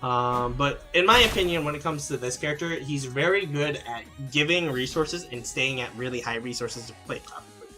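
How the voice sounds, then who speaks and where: A man's voice: mid-range voice, normal speed, bright, forceful tone, soft background music. A man streaming a game, answering chat questions casually with plenty of fillers and restarts. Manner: rambling, many fillers and restarts.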